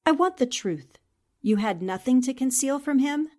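A woman's voice: sits high, animated, moderate pace, a little mellow. An actress playing a determined, composed newspaperwoman who probes with sympathy, in 1940s-style radio drama. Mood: firm.

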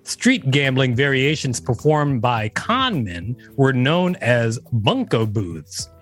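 A man's voice: on the high side, fairly bright tone. A man co-hosting a podcast, reading an encyclopedia page aloud and adding casual commentary. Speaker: podcast co-host.

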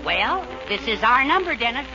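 A man's voice: extremely high pitch, very animated, moderate pace, over loud music. An actor playing a loyal, sentimental male vaudeville partner in a 1940s radio comedy. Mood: fond, wistful. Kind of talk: 1940s radio sitcom acting. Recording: dull vintage 1940s radio broadcast.

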